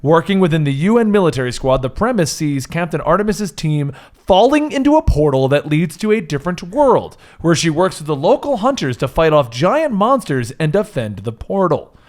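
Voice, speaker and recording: A man's voice: fairly high pitch, big pitch swings, moderate pace, few pauses. A man co-hosting a discussion show in casual, joking conversation, sometimes reading items out. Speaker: co-host of a list discussion show. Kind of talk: casual joking chat with some read-out items.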